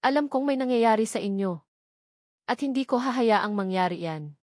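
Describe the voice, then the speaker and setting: A woman's voice: a little high, animated, normal speed, a little mellow, no room sound, clean studio sound, lightly acted. A woman with a Filipino accent voicing a suspicious, angry wealthy wife with a demanding manner in a narrated drama.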